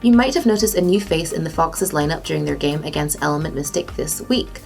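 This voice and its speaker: Lower-pitched woman presenting gaming news. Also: brisk, gravelly, a little mellow, faint music behind. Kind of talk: scripted esports news narration.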